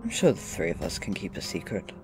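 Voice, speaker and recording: A man playing a mysterious, formally courteous gentleman in an audio drama, dry, unhurried and theatrically teasing. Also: deep voice, very animated, music in the background. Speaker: podcast drama actor.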